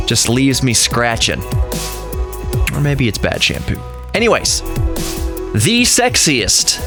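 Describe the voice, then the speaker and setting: An American man from Texas hosting a radio show in a casual, conversational, slightly rambling way. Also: medium pitch. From Texas, United States. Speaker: radio show and podcast host.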